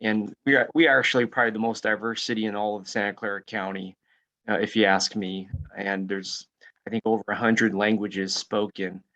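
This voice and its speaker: A man's voice: on the low side, fairly bright tone. A man joining a group call to give friendly, supportive advice. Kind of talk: casual group discussion.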